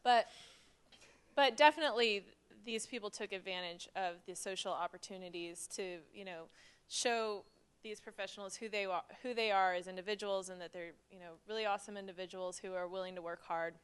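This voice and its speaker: A woman's voice: mid-range voice, a little fast, clean tone. A woman leading a panel discussion, upbeat and encouraging, laughing often.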